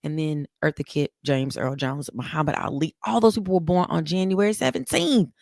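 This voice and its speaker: A woman co-hosting a casual morning talk show, chatting in a folksy, animated way. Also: low-pitched, a little fast, gravelly, no echo, clean studio sound. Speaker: co-host.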